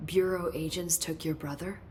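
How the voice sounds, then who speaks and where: A woman's voice: deep voice, steady tone, normal speed, a little mellow, slight background noise, close to an everyday voice. A woman playing an inquisitive, earnest government researcher in a video game, explaining things precisely. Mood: curious, analytical. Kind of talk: naturalistic video game acting.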